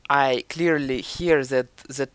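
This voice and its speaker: A man's voice: medium pitch, steady tone, slow pace, fairly bright tone, clean tone. A young man with a Ukrainian accent, a vlogger thoughtfully commenting on his own old video and searching for words as he goes.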